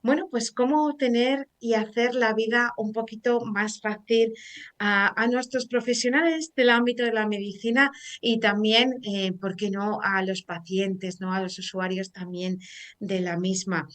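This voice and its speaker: Una mujer con acento de España presentando en directo un programa de radio, charlando con soltura y muchas muletillas. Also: female voice, mid-range voice, steady tone, measured pace. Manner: chatty and warm, frequent fillers like "eh" and "pues bueno". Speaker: radio show host. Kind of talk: live radio talk show hosting.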